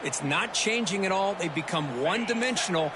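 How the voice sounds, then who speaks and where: A man's voice: high voice, lively intonation, fairly quick, very noisy. A man playing a folksy American color commentator in a football video game, emphatic and conversational as he analyzes the action. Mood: analytical. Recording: loud music behind.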